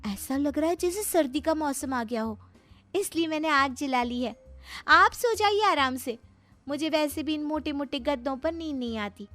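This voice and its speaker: A woman's voice: high voice, lively intonation, normal speed, fairly bright tone, dry recording, soft background music, pitched above the performer's own voice. A woman voicing a naive, earnest young village daughter-in-law in a cartoon story, simple and polite to her elders. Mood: innocent, earnest. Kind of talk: Hindi cartoon storybook dialogue.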